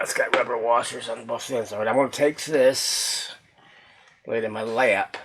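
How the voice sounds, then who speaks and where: A man casually talking through a hands-on restoration as he works, folksy and halting, often muttering to himself in a husky voice. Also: mid-range voice, a bit bright. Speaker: host of a radio repair video, hobbyist restorer. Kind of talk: casual unscripted workbench narration while handling the work.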